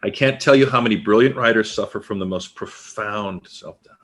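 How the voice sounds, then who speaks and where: Man playing a nosy, husky-voiced, low-pitched talker. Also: moderate pace, a bit bright. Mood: emphatic, encouraging. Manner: probing, needling, conversational. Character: nosy, teasing former literary agent, a talkative male stranger. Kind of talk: naturalistic stage play dialogue.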